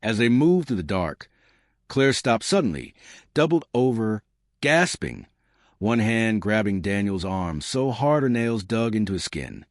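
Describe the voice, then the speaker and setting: A man's voice: lowish voice, very animated, a little slow, dry recording, studio quality. A man narrating a scripted dramatic story as a suspenseful storyteller who speaks straight to the listener. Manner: suspenseful, addressing listeners directly.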